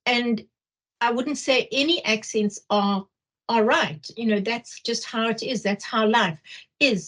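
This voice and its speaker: A middle-aged woman with a Zimbabwean accent explaining material as a workshop trainer, haltingly, often repeating phrases for emphasis. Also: a little high, a bit bright. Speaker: teacher trainer leading a workshop. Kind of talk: explanatory lecture.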